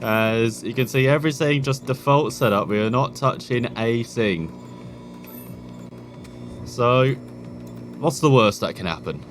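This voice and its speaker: English gaming host, male, mid-pitched; chatty, self-mocking, rambling. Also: measured pace, pauses often, background noise. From England. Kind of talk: casual gameplay commentary.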